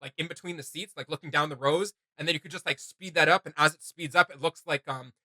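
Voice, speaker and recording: A man with a very bright, forward voice talks as a casual reaction host. He sits a little high in pitch and rambles eagerly, thinking aloud. The sound is close and dry. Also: quick pace, no echo.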